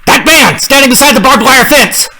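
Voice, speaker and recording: A man's voice: very high voice, normal speed, a crowd in the background. A man acting a haunted, desperate driver in an old radio suspense style, melodramatic and prone to stammering. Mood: panicked, insistent. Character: frantic, haunted male driver on a lonely road.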